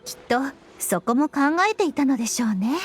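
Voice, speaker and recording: A woman's voice: fairly high pitch, expressive, slightly rough, faint music behind. An actress playing a polite, shrewd young woman strategist in an anime game, speaking in a composed, analytical way. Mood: shrewd, suspicious. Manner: polite, measured wording, analytical. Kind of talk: Japanese anime game voice acting.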